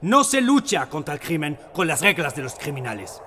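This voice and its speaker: An actor playing an assertive French president delivering formal political speeches in an emphatic, declamatory way. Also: male voice, a little high, big pitch swings, normal speed, pushed and bright, a little hiss or hum. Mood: firm, formal. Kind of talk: dramatised political oratory.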